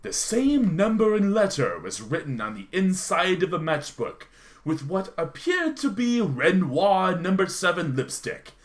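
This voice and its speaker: A man's voice: high-pitched, wildly swooping pitch, measured pace, exaggerated voice. A man playing a hardboiled private detective, narrating his noir case in a wry, slangy radio-play style. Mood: wry, world-weary. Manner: dramatic, slangy, wry storytelling. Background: music.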